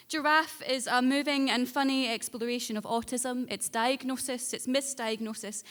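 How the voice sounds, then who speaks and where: A woman with a fairly high voice reads out prepared award citations at a live ceremony. Her delivery is measured and articulate, and the hall gives it a long echo. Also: a bit bright. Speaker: award judge announcing shortlist. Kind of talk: prepared presentation speech. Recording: echoey hall with long reverb.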